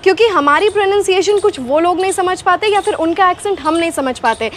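A young woman from Haryana with an Indian accent, answering interview questions in a relaxed, explanatory way, mixing in English phrases. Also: extremely high pitch, a little fast, a little hiss or hum. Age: young adult. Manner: mixes Hindi and English, explanatory, gestures with hands. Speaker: guest, English accent coach. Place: outdoor garden courtyard under shade net. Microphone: clip-on lapel mic.

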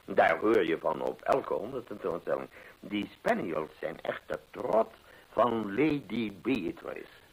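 Genial, chatty male companion for a vintage radio play: husky, lively intonation. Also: lowish voice, takes it slowly, full character voice, poor audio. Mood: chatty, informative. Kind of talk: Dutch radio play acting. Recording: old radio play recording.

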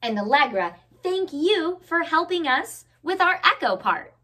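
A woman's voice: high voice, slight background noise. A woman in her thirties reading a picture book aloud for children, expressively drawing out words. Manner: expressive, deliberate, drawn-out words. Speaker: children's story time host.

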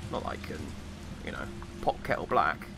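A man with a slightly croaky voice giving casual, rambling commentary as he plays a game. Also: very deep voice, very animated, moderate pace, noisy background. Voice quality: slightly croaky, recovering from voice loss. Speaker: gamer, host of a let's play.

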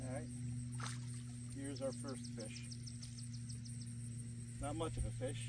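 A man's voice: medium pitch, takes it slowly. A man giving casual, plainspoken commentary for an outdoor fishing vlog, in a folksy way. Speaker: fishing vlogger. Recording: outdoor recording with clear background noise.

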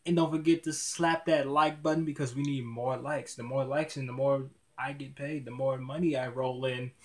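A young man in his early twenties talks straight to his webcam in a small dim room. His voice sits at a middling pitch. He rambles in easy slang, tripping over and repeating his words as he goes. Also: fairly even intonation, normal speed. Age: 20s. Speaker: YouTuber. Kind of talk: casual vlog talk to camera.

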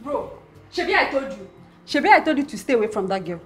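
A woman's voice: fairly high pitch, sing-song, fast. A woman with a Nigerian accent playing an outraged, bossy, protective sister, insistent and repetitive, in a screen drama. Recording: echoey room, faint music behind.

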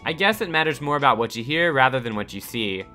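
A man reading a scripted countdown voice-over with upbeat delivery over soft background music. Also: mid-range voice, lively intonation, fast. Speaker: narrator of a countdown channel.

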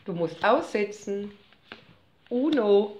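A woman's voice: medium pitch, animated, slow, a little mellow. A mother playing cards with her child at home, off camera, chatting in a playful, teasing, mock-indignant way. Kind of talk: casual family chat during a card game. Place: home room at a table, off camera. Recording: echoey room, faint noise.

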